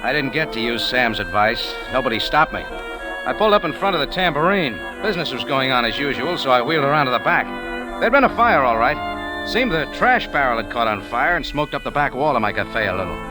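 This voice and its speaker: An actor playing a wisecracking, unflappable cafe owner and amateur sleuth, wry and casual, in a 1940s radio detective style. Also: male voice, medium pitch, very animated, brisk, music in the background, lightly acted, poor audio. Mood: hard-boiled narrating. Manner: wry, hard-boiled, casual. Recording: old radio drama recording.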